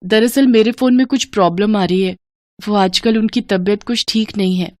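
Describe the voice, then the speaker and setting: A woman voicing a meek, gentle, caring young daughter-in-law in a cartoon moral story. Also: medium pitch, normal speed, no room sound, clean studio sound, lightly acted. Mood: pleading.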